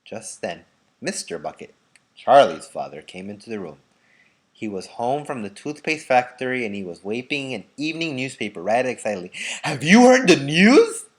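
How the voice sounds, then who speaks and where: A man reading a children's book aloud expressively, moving dramatically between projected and hushed delivery. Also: lowish voice, lively intonation, normal speed.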